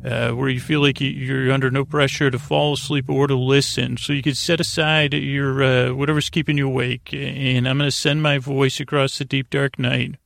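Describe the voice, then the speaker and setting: A man hosting a bedtime podcast in a lulling, creaky voice, meandering along with halting pauses and repeated words. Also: mid-range voice, flat delivery, fairly bright tone, no echo. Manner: lulling, soothing. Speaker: podcast host. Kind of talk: rambling bedtime sleep podcast intro.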